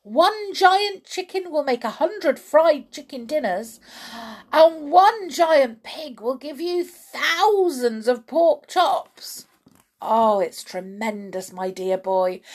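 A woman voicing an excitable, overbearing father in a children's story, exclaiming with bossy, impatient enthusiasm. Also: sits high, takes it slowly, pitched above the performer's own voice. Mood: exuberant. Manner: exclamatory, bossy, breathlessly eager.

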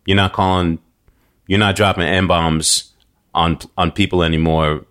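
A man with a very deep, low voice talks casually and animatedly on a podcast. He grew up in New York, and he tells stories with plenty of restarts. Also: a little slow. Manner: animated storytelling, frequent restarts and fillers. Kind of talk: casual conversation.

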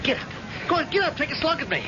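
A man's voice: very high-pitched, sing-song, rapid-fire, music in the background. A man acting in an old-time radio drama as a stubborn, proud blind man, hard-boiled, bitter and challenging, in clipped colloquial phrases. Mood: taunting, aggressive. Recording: muffled, noisy old radio recording.

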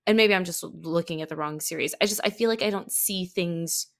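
A woman's voice: fairly low pitch, brisk, gravelly. A woman talking as a podcast guest in a casual, chatty way, telling things expressively with self-deprecating asides. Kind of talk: casual chat about anime.

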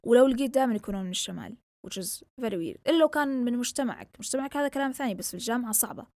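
A woman's voice: on the high side, expressive, very fast, a little mellow, no room sound. A young woman from southern Yemen hosting a casual solo podcast, with a mixed Yemeni and Saudi Gulf Arabic accent, talking in a chatty, rambling way and switching between Arabic and English. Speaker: podcast host.

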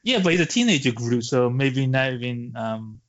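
Male podcast co-host, mid-range voice, casual and halting movie chat, slightly dull lo-fi sound. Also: mid-range voice, expressive, a bit bright.

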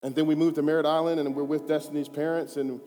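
A man in his thirties giving a personal testimony, earnest and conversational, moving between hushed and emphatic. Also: a little high, flat delivery, fast talker. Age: 30s. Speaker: church speaker giving testimony. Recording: reverberant hall with faint music.

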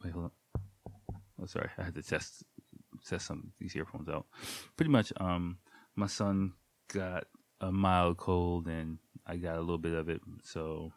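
A man's voice: sits low, little pitch movement, a little slow, long pauses, dry recording. A man hosting his own podcast in loose, rambling casual talk, with a stuffy nose from a cold. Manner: rambling, loose, with many ums and jokey sound effects. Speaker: podcast host. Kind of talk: casual solo podcast chat. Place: at home, late at night.

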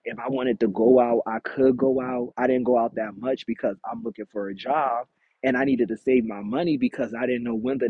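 A man in his thirties hosting his own podcast, talking candidly and casually, with animated quotes of himself. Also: medium pitch, gravelly, husky, dry recording. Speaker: podcast host. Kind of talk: casual solo podcast monologue. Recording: tinny phone-like audio, dry.

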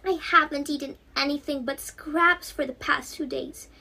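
A woman's voice: sits high, steady tone, normal speed, gravelly, pushed and bright, voice pushed up, heavily acted. A teenage young woman voicing a poor, plaintive young flower seller in a storybook reading. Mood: dejected. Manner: plaintive, crying out. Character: poor, hungry, sorrowful young flower-selling girl.

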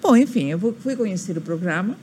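A woman's voice: medium pitch, expressive, fast, mellow, a little hiss or hum. An older woman from Argentina who speaks Portuguese with an Argentinian Spanish accent, telling stories in a casual interview with many restarts. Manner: storytelling with acted quotes and frequent restarts. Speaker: guest.

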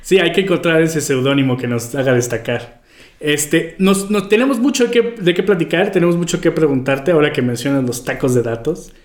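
A man's voice: a little high, lively intonation, brisk. A man hosting a casual podcast interview in conversational style. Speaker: podcast host. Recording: roomy, echoing voice recording.

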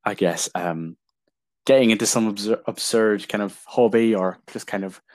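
Hesitant teenage boy with a low voice. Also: normal speed, no echo. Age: teens. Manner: hesitant, many fillers and restarts. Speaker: podcast co-host. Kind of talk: casual film chat.

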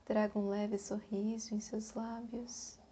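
Brazilian lady doing guided meditation, super slow and calm, dark mellow breathy voice. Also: medium pitch, slight background noise. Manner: slow, soft, calm, with long pauses.

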